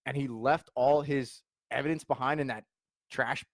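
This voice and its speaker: A man co-hosting a podcast, casually retelling what he watched in an animated way. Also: medium pitch, moderate pace, dry recording, studio quality. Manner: animated, interjecting, storytelling. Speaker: podcast co-host. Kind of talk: casual chat. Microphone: studio mic.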